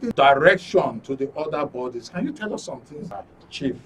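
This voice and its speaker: Man, Nigerian accent, talk show host, deliberate announcing, fairly high pitch, slightly distant mic, faint background noise. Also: animated, a bit bright. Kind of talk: live studio talk show hosting. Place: radio and TV studio.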